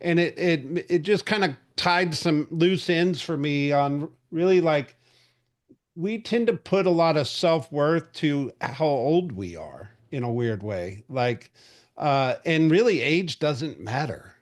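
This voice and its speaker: A man's voice: on the high side, animated, takes it slowly. A man in his forties hosting a real estate industry call, talking casually with lots of fillers and restarts. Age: 40s. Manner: chatty, rambling, frequent fillers and restarts. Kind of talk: casual market update briefing.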